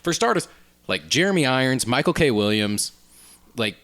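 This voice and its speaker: A man with a medium-pitched voice and very lively intonation. He chats casually as a podcast host, working earnestly through his opinions. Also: moderate pace. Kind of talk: casual film and video game discussion.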